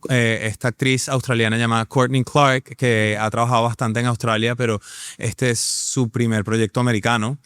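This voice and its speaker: A man's voice: medium pitch, little pitch movement, moderate pace, a bit bright, smooth, dry recording, clean studio sound. A man in his thirties with a Venezuelan accent, an actor talking as a guest in a casual interview, enthusiastic and full of fillers and restarts.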